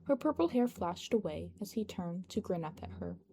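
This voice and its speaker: A woman's voice: medium pitch, sing-song, moderate pace, mellow. A woman reading a scripted fan-fiction story aloud as its narrator. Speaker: podfic narrator. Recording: noticeable background noise.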